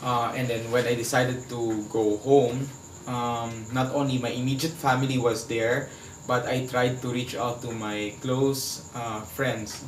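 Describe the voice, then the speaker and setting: A man with a Filipino accent speaking as an interview guest, earnestly and haltingly, searching for his words as he gives personal testimony. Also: medium pitch, level tone. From Philippines. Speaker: HIV advocate, interview guest. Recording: echoey room, distant mic, faint background noise.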